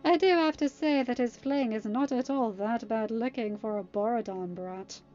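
A woman voicing a smug, taunting young male villain in an audiobook-style story, with a sneering, deliberate manner. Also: on the high side, a little mellow, dull audio, soft background music, natural voice.

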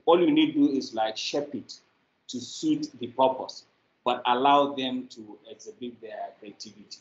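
A man's voice: medium pitch, normal speed. A middle-aged man with a Nigerian accent, giving conversational answers as an interview guest over a video call. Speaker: interview guest, media company representative. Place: indoor room, on a video call. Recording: echoey, muffled video call audio.

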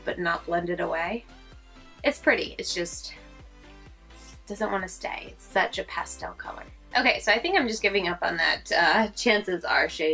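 A woman's voice: fairly low pitch, a bit bright. A young woman with a stuffy, congested voice, chatting casually and rambling with fillers as she does a makeup tutorial at home, close to the camera. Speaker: beauty vlogger. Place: small room at home, indoors.

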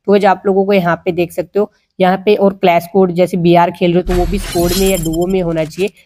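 A man's voice: sits high, little pitch movement, fast talker, dark tone, a little hiss or hum. A man with an Indian accent giving a casual, instructional gaming tutorial voice-over, talking to viewers like friends. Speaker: gaming video creator.

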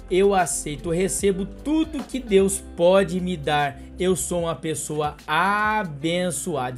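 Chummy Brazilian man reading horoscopes. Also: sits high. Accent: Brazilian Portuguese. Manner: chummy and coaxing, addressing the listener with pet names. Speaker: horoscope channel host. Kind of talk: daily horoscope reading and sales pitch. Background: light background music.

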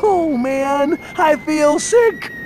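An actor playing a goofy, cowardly young male slacker in a cartoon video game, with a cracking squeaky voice and hesitant slang. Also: lively intonation, very slow, noisy background, exaggerated voice. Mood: queasy, scared. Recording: loud game music behind the voice.

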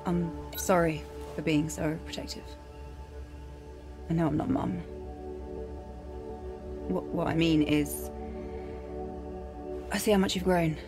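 A woman's voice: low-pitched, steady tone, takes it slowly, long pauses, over music. A woman playing a composed, protective spaceship commander in a video game. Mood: tender.

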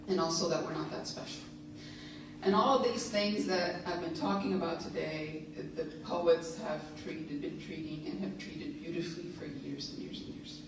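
A woman's voice: sits low, background noise. A woman in her thirties giving a reflective, conversational lecture at a podium, with frequent fillers. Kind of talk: public lecture and reading. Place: lecture hall, standing at a podium. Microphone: podium mic on a stand. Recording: echoey hall, recorded from a distance.

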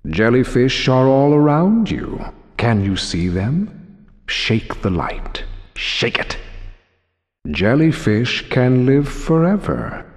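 An actor playing an ominous, mockingly dramatic aquarium exhibit announcer in a video game, with theatrical mystery. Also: male voice, mid-range voice, very animated, slow pace. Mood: ominous, wry. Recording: long cavernous hall reverb.

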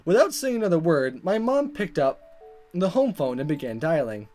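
A woman let's player reading a visual novel aloud in expressive narration with informal asides and stumbles over the script. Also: on the low side, normal speed. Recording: music behind the voice.

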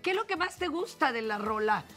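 Brief: middle-aged woman host, high and showy, light music under. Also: sing-song, a little fast, a bit bright. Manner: theatrical, exclaiming. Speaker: talk show host. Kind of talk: lively studio chat. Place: TV studio set.

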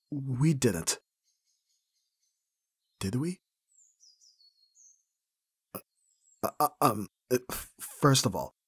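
A man acting an awkward, flustered young best friend in an ASMR roleplay, with a breathy, husky voice and hesitant, stammering delivery. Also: mid-range voice, dry recording, full character voice. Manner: hesitant, stammering, often dropping to whispers.

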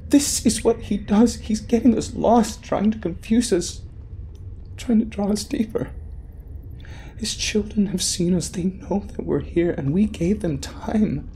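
A man's voice: high-pitched, warm tone, a little hiss or hum, voice pushed up. A man voicing a terrified woman who panics frantically about a cave demon. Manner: frantic, repeating, screaming.

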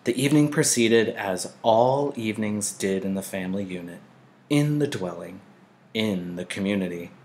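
Guy with a lowish voice doing an expressive story read-aloud, deliberate dramatic phrasing. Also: a little hiss or hum. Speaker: teacher reading a novel aloud. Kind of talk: expressive audiobook-style read-aloud narration.

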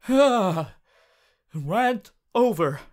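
A man's voice: high-pitched, expressive, extremely slow, heavily acted. A man voicing a strict, earnest teenage class president, formal and preachy, in an audio roleplay. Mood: flustered, exasperated. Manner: formal, preachy, exasperated, stiff phrasing. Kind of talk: ASMR character audio roleplay monologue.

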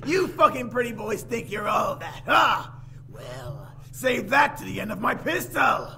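A gleefully menacing game villain, taunting and mocking. The voice is gravelly and sharp, swooping wildly over a noisy background. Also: mid-range voice, very animated, a little slow, full character voice. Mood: mocking rage. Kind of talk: exaggerated visual-novel voice acting.

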